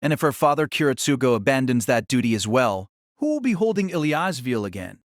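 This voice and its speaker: A man's voice: medium pitch, sing-song, fairly quick, no room sound, clean studio sound. A man narrating a scripted story in a dramatic audiobook style.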